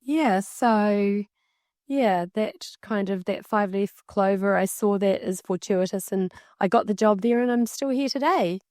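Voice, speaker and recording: A woman interpreting a signer's casual story aloud, in halting, conversational phrases. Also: medium pitch, darkish tone, no echo, studio quality. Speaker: sign language interpreter voicing a signer.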